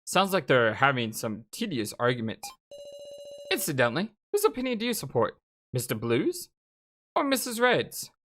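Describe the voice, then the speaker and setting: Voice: mid-range voice, very animated, moderate pace, fairly bright tone, slight background noise. A game streamer voicing a chatty, teasing kid from a visual novel in a breezy, needling manner. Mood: breezy, curious.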